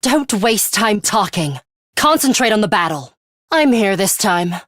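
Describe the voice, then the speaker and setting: A woman's voice: mid-range voice, big pitch swings, fairly bright tone, no room sound, clean studio sound. Stern, composed young female fighter. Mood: commanding. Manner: measured, clipped, serious, matter-of-fact. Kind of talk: English video game voice acting.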